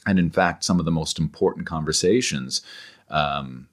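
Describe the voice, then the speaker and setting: Male interview guest, extremely low voice, erudite and digressive, thinking aloud in halting intellectual conversation. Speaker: interview guest, scholar and author.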